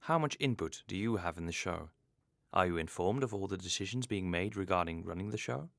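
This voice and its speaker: A man's voice: low voice, a little fast, gravelly, a bit bright, dry recording, clean studio sound. A man hosting an interview series, reading formal, scripted introductions and questions.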